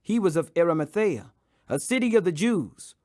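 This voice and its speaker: Solemn male scripture reader, very high-pitched. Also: animated, moderate pace, a little mellow. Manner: solemn, declamatory. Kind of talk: dramatic audiobook Bible reading.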